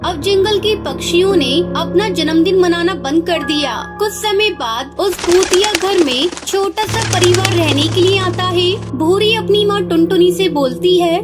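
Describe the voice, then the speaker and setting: Very high-pitched woman narrating a story. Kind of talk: scripted cartoon story narration. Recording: music under the voice.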